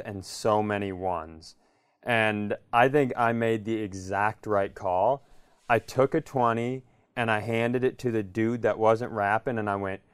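An American man hosting a comedy podcast, telling sweary, animated anecdotes in a casual style. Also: fairly low pitch, clear, resonant tone, dry recording, studio quality. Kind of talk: casual comic storytelling.

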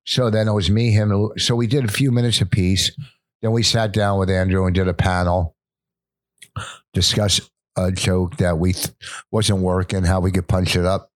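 Deep-voiced older man, rambling storyteller. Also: little pitch movement, moderate pace, no echo. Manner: rambling storytelling with many restarts. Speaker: stand-up comedian co-hosting a podcast. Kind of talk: casual chat.

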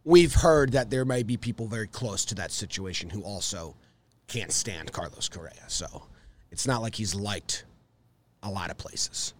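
A man with a hoarse voice hosting a casual sports podcast from a studio, joking and telling animated stories. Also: lowish voice, moderate pace, a little hiss or hum. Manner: jokey, animated storytelling. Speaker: podcast host.

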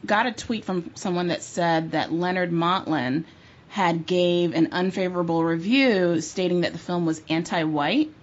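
A woman's voice: lowish voice, measured pace, muffled recording. A woman co-hosting a podcast, asking the guest questions in an engaged way with small restarts. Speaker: podcast co-host. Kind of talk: interview questions.

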